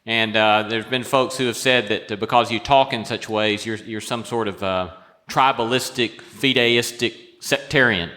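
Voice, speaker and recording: A man's voice: fairly low pitch, fairly even intonation, normal speed, sharp tone. A man hosting a live on-stage interview and putting questions to his guest. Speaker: interview host. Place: large hall, live event. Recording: echoing hall with long reverb.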